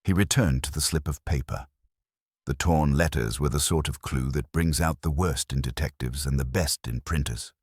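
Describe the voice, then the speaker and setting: A man narrating a literary mystery in a measured, wry manner. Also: very deep voice, a little mellow, breathy edge, no room sound, studio quality.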